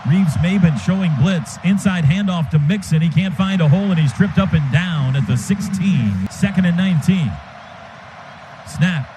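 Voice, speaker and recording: A man calling a football game play by play for radio, in quick clipped bursts that jump up on big plays. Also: high voice, music in the background. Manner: rapid, clipped calls that rise sharply on big plays. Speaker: play-by-play announcer. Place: stadium radio booth. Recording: noisy stadium radio broadcast.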